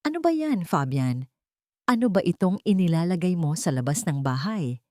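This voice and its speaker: A woman's voice: on the low side, big pitch swings, normal speed, darkish tone, no room sound, studio quality. A woman voicing a poor young mother in a radio drama, questioning and emotional, suspicious and defiant. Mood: puzzled. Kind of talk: Filipino radio drama acting.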